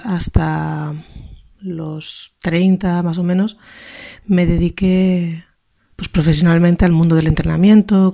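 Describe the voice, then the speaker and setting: A woman in her thirties from Spain's north, with the accent to match. Her voice sits a little low and sounds dark and relaxed. She reflects informally on her own life, feeling her way hesitantly through each thought. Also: creaky. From Basque Country, Spain. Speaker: contributor to a feminist radio show. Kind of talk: informal spoken personal reflection.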